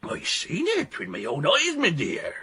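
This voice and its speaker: A man's voice: sits high, wildly swooping pitch, sharp tone, heavily acted. An actor playing a nosy, chatty old English man with rustic working-class speech in a radio drama. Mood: scandalised, gossipy. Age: older. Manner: chatty, confiding, drawn-out delivery. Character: gossipy, nosy, opinionated old male flat-dweller. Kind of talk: radio comedy-mystery drama acting.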